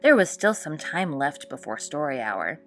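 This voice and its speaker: A woman reading a children's picture book aloud as expressive storytime narration. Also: deep voice, moderate pace, faint music behind. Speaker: storytime read-aloud host.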